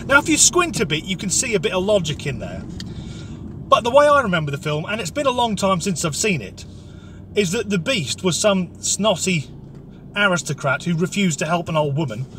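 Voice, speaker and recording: A man's voice: sits high, expressive, fairly quick, fairly bright tone, slight background noise. A man with an English accent delivering an opinionated comic rant in a blunt, sweary, sardonic way. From Norwich area, England. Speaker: comic commentator.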